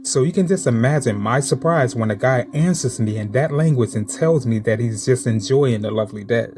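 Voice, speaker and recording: A man narrating true-encounter horror stories in a steady, measured reading voice. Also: medium pitch. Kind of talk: scripted horror story narration.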